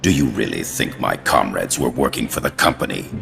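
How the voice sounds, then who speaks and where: Very deep, gravelly, scheming Soviet spymaster. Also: male voice, expressive, bright, forceful tone, heavily acted. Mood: smug. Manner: theatrical, smug, deliberate. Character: scheming middle-aged male Soviet spymaster posing as professor. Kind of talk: dramatic video game voice acting.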